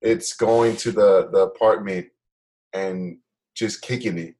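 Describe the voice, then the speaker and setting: A man's voice: on the low side, fairly even intonation, slow pace, darkish tone, low-quality recording. A young man chatting casually with a friend as a podcast host, in a loose, slangy, affirming way. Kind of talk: casual chat between friends.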